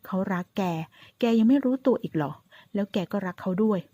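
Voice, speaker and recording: A woman voicing an excitable young woman who teases her friend, playful and gushing. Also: deep voice, moderate pace, slightly rough. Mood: excited teasing.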